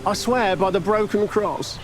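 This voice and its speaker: An actor playing a lowly, cowardly town guardsman in a video game, whining, desperate and servile. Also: unhurried, background noise. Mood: desperate pleading.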